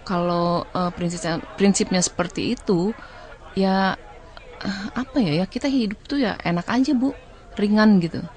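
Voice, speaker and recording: A woman's voice: medium pitch, moderate pace, long pauses, darkish tone. A woman giving an informal interview answer, conversational and hesitant, circling back on her phrases.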